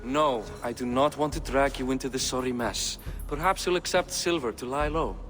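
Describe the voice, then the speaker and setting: A man's voice: mid-range voice, moderate pace, fairly bright tone, natural voice. An actor playing a genial but world-weary Viking king in a video game, with a wry, old-fashioned way of talking. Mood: troubled, wry. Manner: wry, self-deprecating, old-fashioned phrasing. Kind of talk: dramatic video game acting. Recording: music behind the voice.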